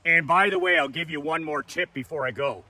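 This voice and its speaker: A man's voice: on the high side, a little fast, sharp tone, dry recording. A man in his fifties giving a blunt, profane motivational talk as a business coach, hammering his points with repeated lists. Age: 50s. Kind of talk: motivational monologue.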